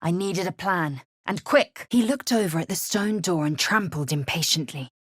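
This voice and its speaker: A fierce alien warrior woman for a fantasy video game. Her voice sits fairly low and is husky and raspy, recorded very dry. She speaks in clipped, formal phrases with imperious disdain. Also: lively intonation, moderate pace, no echo. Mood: grim, narrating. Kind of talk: video game character acting.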